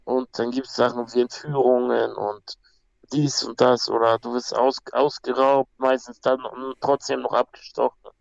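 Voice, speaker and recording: A man's voice: medium pitch, level tone, moderate pace, vocal fry, no room sound, dull audio. A young man from Peru, a guest talking casually and bluntly, with slang and many restarts. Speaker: guest, MMA fighter. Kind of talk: casual conversation.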